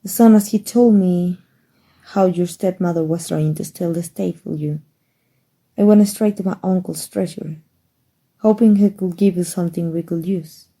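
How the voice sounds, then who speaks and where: A woman playing a kind, playful young princess in love, regally confident, with soft, teasing, courtly phrasing in a romantic ASMR roleplay. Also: on the low side, normal speed, low-quality recording. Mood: proud, tender. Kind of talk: romantic ASMR roleplay, fairy-tale storybook acting.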